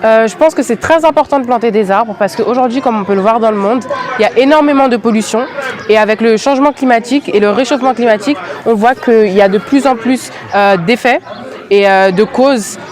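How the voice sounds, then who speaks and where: A woman's voice: on the high side, brisk, a crowd in the background. A teenage girl from France, a student, answering an interview hesitantly with many fillers. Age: teens.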